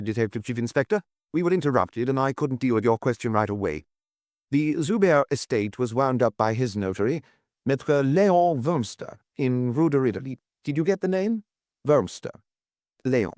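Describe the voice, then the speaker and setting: A man voicing a brisk, guarded lawyer in an audiobook, formal, officious and insistent on the telephone. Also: mid-range voice, animated, darkish tone, no room sound, studio quality, pitched above the performer's own voice, lightly acted. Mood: formal, businesslike. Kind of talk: audiobook character dialogue.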